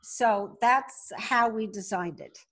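A woman's voice: on the high side, takes it slowly, a bit bright, low-quality recording. An older woman telling stories as an interview guest in a plain-spoken, wry way. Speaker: podcast guest, brand co-founder. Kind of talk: conversational storytelling interview.

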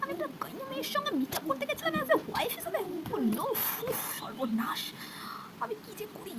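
Female performer as snobbish young man for puppet drama, haughty, fast, very animated delivery. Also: extremely high pitch, pitched above the performer's own voice. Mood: indignant, exasperated. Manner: haughty, mixes English phrases into speech. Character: arrogant young man, status-obsessed, disdainful suitor. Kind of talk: amateur puppet-show dialogue. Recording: noisy amateur recording.

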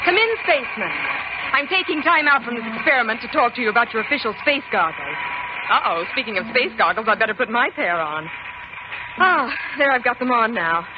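A woman's voice: on the high side, animated, a little fast, natural voice. An American woman playing a friendly space academy scientist in a 1950s children's radio commercial, instructive and chummy. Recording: muffled, noisy 1950s radio recording.

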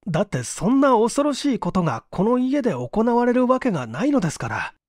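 A man voicing an anxious, flustered gentleman in a mystery story, earnest and defensive. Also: very high-pitched, very animated, brisk, no room sound, studio quality, voice pushed up, full character voice. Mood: anxious, confessing.

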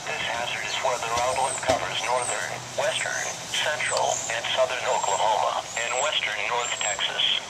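Man's text-to-speech voice, mid pitch, automated weather bulletin, formal, impersonal, radio sound, loud noise throughout. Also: normal speed, few pauses. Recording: noisy weather radio, captured by camera. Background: loud steady noise.

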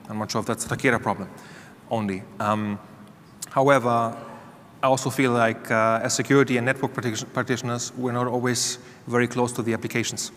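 A man's voice: medium pitch, a bit bright, slight background noise. A man speaking as a panelist on a conference stage, candid and conversational, on a lapel mic. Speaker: panelist, security leader. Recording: reverberant hall, faint ambience.

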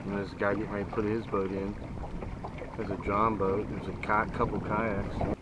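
A man's voice: fairly low pitch, flat delivery, moderate pace. A man giving casual, folksy running commentary while fishing from a small boat, as if to himself and his viewers. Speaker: fishing vlogger. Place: outdoors on a small boat on a lake. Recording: faint outdoor boat and water noise. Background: boat and water noise.

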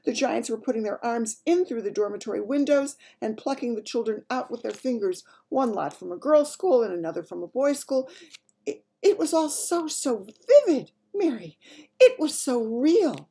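A woman's voice: fairly high pitch, animated, moderate pace, dark tone, husky, voice pushed up. A woman voicing a regal older Queen in a storybook, with a proper, grand and emphatic manner. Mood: distressed, vivid.

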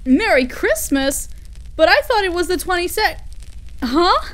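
A woman's voice: very high-pitched, sing-song, a little slow, fairly bright tone, full character voice. A woman playing an affectionate, dorky, easily flustered workaholic mechanic girlfriend in an intimate roleplay audio, giggly and gushing. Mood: flustered, apologetic.

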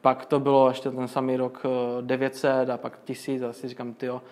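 Flat-voiced man explaining step by step. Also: mid-range voice. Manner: flat, explanatory, many fillers and restarts. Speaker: guest, crypto magazine owner. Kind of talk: casual interview answers.

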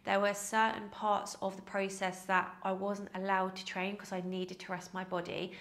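A woman's voice: medium pitch, little pitch movement, moderate pace, fairly bright tone. A woman in her thirties with a nasal, cold-stuffed voice, chatting to camera in a casual Q&A, laughing at herself often. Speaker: fitness vlogger. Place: small indoor room with plants. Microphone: lapel mic.

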